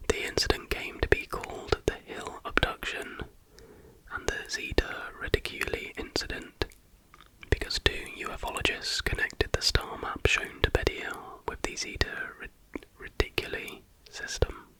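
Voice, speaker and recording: A man speaks in a steady, breathy whisper. He reads aloud in ASMR style, soft and measured, never bringing full voice into the words. The delivery is unhurried and factual. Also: rough recording. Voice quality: unvoiced whisper throughout. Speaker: ASMR host reading aloud.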